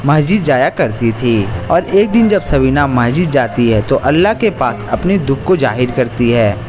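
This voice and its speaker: A man's voice: mid-range voice, animated, normal speed, over music. A man narrating a scripted children's story as the storyteller.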